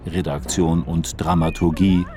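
Very deep, slow male announcer. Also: steady tone. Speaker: announcer reading radio play credits. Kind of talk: scripted broadcast credits announcement.

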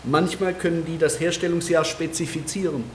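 A man's voice: fairly high pitch, steady tone, brisk, close to an everyday voice. A man playing a detached, pedantic forensic lab expert explaining his specimens in a radio drama. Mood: explanatory. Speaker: supporting actor. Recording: roomy echo, faint background noise.

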